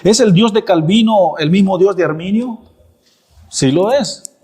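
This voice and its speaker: Man, thirties, pastor preaching in a church, fairly high voice, rhetorical questions with firm answers. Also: a little slow, darkish tone, husky. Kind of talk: sermon.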